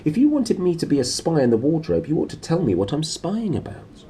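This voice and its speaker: A man's voice: a little high, very animated, a little fast, mellow, slight background noise, pitched above the performer's own voice. A man voicing a defiant, curious young girl from a storybook, asking insistent questions. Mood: indignant.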